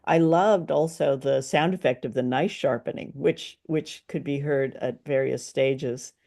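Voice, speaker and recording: A man's voice: medium pitch, measured pace, vocal fry, a little mellow. A man co-hosting a casual review chat about a radio play. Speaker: podcast co-host.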